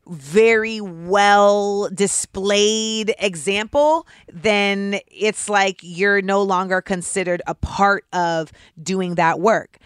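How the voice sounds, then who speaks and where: A woman in her thirties with a deep voice, hosting a casual commentary show in a candid, sarcastic way and saying "like" often. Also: fairly bright tone, clear, resonant tone, no room sound, studio quality. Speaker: host.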